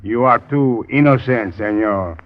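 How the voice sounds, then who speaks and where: An actor playing a dismissive, suspicious Nicaraguan police sergeant with a Spanish accent and broken English in an old-time radio crime drama. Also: male voice, mid-range voice, a little slow, natural voice. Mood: scornful, dismissive. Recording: muffled vintage radio recording.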